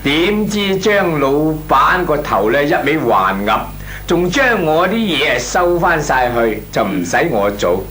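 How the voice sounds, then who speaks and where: A man's voice: fairly high pitch, very animated, unhurried, fairly bright tone, a little hiss or hum. A man playing a hapless, aggrieved worker in an old Hong Kong Cantonese radio comedy, whining and grumbling. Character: hapless, aggrieved working man, complaining to neighbours.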